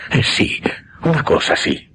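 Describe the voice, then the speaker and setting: An actor with an accent from Spain playing a shaken, courteous gentleman who hesitantly recounts a supernatural horror in a radio drama. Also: moderate pace, a little hiss or hum, natural voice. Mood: grave, hesitant.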